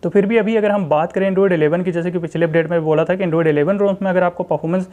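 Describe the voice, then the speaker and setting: An Indian-accented man talks through a software review in a casual way. His voice sits a little high for a man, with a distinctly dark, relaxed tone. He explains step by step, speaking straight to viewers and often restating his points. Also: fast talker, lo-fi sound. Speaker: tech channel host reviewing phone software. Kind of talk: casual screen-recording walkthrough commentary.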